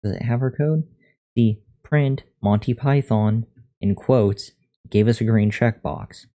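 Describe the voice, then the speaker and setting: A man chatting casually on a live coding stream, thinking aloud in a monotone voice into a USB condenser microphone. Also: mid-range voice, a little slow. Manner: thinking aloud, reading out and answering chat. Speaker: live streamer learning to code. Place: untreated room at a computer. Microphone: USB condenser microphone at a desk.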